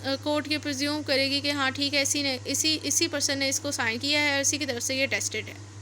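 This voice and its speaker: A woman's voice: sits high, steady tone, brisk, pushed and bright, slight background noise. A woman with a Pakistani accent giving an explanatory law lecture, reading clauses aloud and then explaining them repetitively, switching between two languages. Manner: repetitive, code-switching between English and Urdu.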